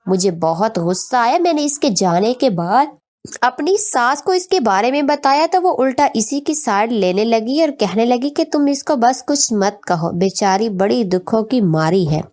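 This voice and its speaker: A woman's voice: fairly high pitch, darkish tone. A woman narrating a scripted, emotional family story in the first person, as a storyteller.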